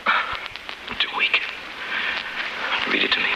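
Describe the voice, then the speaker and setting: An actor playing a weak, lovelorn older man dying in prison, halting and emotional, in 1930s radio drama style. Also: natural voice. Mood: weary grief. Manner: halting, weary, emotional delivery. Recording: very noisy, scratchy 1939 radio recording.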